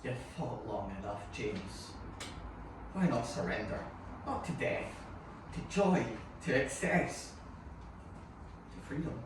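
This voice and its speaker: Seductive male wine deity, acted by a man for mythic film drama, lively intonation, echoey distant room. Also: mid-range voice, background noise. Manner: slow, coaxing, theatrical. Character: seductive, too-beautiful male wine god, fluid tempter. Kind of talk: dramatic table-read acting.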